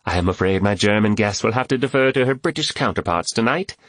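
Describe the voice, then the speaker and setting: A man's voice: fairly low pitch, lively intonation, fast talker, a bit bright, no room sound, clean studio sound, voice pushed up. A male narrator voicing a witty woman in an audiobook, arch and quipping as she playfully spars. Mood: mock protest. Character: witty woman, playfully sparring, teasing dinner guest.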